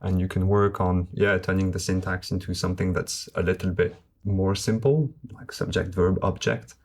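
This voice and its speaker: Low-voiced man. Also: steady tone, vocal fry, a little mellow. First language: French. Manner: measured, explanatory. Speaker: guest translator. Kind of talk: conversational podcast interview.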